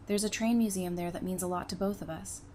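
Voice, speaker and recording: Lowish-voiced practical female survivor played by an actress. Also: fairly even intonation, fast talker, mellow, a little hiss or hum, close to an everyday voice. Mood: explaining. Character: practical, easygoing adult woman, a survivor and counsellor. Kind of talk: audio drama acting.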